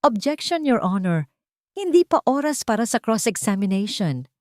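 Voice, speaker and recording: A woman's voice: a little high, sing-song, moderate pace, darkish tone, no echo, clean studio sound, voice pushed up. A woman voicing a confident, accusing male lawyer with formal, dramatic rhetoric and a Filipino accent. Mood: alarmed objection.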